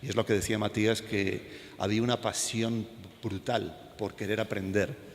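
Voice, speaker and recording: A man's voice: lowish voice, level tone, moderate pace. A man with a Castilian Spanish accent giving an informal talk to an audience, telling anecdotes and putting questions to his listeners. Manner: conversational, puts questions to the audience. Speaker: animator, panel speaker. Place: school event hall with an audience. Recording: echoey hall, some background noise.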